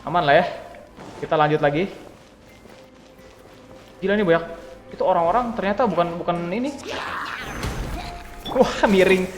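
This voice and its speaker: An excitable young gaming streamer chatters in slangy bursts. His voice sits high and rings in an echoey room with a long tail. Game music plays loudly behind him. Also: a little fast, long pauses. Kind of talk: casual gameplay commentary.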